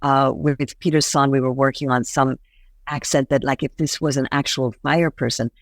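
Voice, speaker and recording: A woman's voice: very deep voice, steady tone, moderate pace, no room sound, clean studio sound. A woman born in Iran, an actress being interviewed, talking earnestly with many fillers and restarts. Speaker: voice actress guest. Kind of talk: interview answers.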